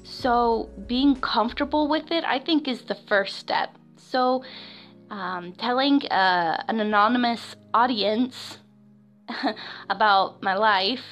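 A young woman in her twenties with a high, girlish voice hosts a casual solo podcast. She speaks haltingly, with frequent fillers and nervous little laughs. Faint music runs quietly underneath. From West Florida, United States. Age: 20s. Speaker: podcast host. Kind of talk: casual personal podcast monologue.

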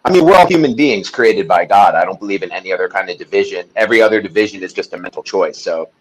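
A man's voice: sits low, expressive, quick pace, breathy edge, low-quality recording. A man, a podcast guest, talking in a casual interview chat, intense and emphatic as he tells his story.

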